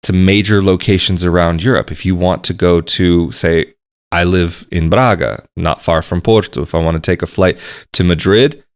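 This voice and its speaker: A man talking casually to the camera, explaining things at a measured pace and repeating phrases for emphasis. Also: deep voice, steady tone, clean tone. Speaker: vlogger.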